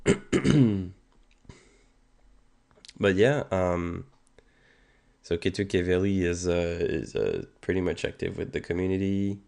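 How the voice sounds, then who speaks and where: Canadian guy in his 30s, sick and stuffy, super low rumbly voice, rambling on stream. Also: lively intonation, takes it slowly, gravelly, pauses often, darkish tone. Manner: rambling and hesitant, many ums and restarts. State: sick with a stuffed nose and sore throat. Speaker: music streamer. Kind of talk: casual livestream chat.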